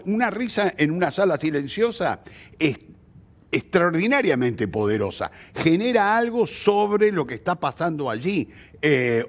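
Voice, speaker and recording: Brief: Argentine man, interview guest, expressive storyteller with very lively, swooping intonation on a fairly high voice. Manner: expressive, illustrates with anecdotes and acted quotes. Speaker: theatre practitioner interviewed as guest. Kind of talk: conversational interview answer.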